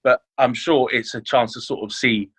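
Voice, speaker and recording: A man's voice: medium pitch, fairly even intonation, moderate pace, fairly bright tone, breathy edge. A man, chatting about his career as a chef in an interview, with many fillers and restarts. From born in Leeds, grew up in London. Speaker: chef, podcast guest. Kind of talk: casual interview chat.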